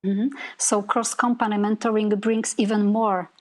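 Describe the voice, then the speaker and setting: Middle aged woman, mid-pitched voice, hosting a video call panel and asking questions. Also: little pitch movement, moderate pace, darkish tone, poor audio. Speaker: panel moderator.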